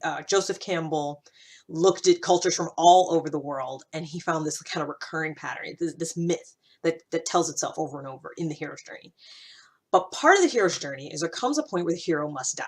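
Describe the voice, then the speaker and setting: A woman with a low-pitched voice speaks in a casual, reflective monologue. She hosts in a conversational way, leaning on key phrases and repeating them for emphasis. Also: fairly quick. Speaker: host of a personal development podcast.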